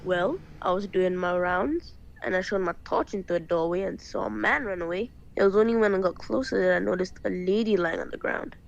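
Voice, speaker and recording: A man plays a teenage boy porter in an audio drama. The voice is high for a man, polite and deferential, with slightly muffled sound. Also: fairly quick, vocal fry, close to an everyday voice.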